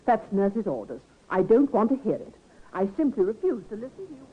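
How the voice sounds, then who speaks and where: An actress playing a kindly, firm nurse in an old-time radio drama, with a motherly, gently bossy manner. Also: mid-range voice, very animated, breathy edge. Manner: brisk, fond, gently bossy. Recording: narrow-band vintage radio recording.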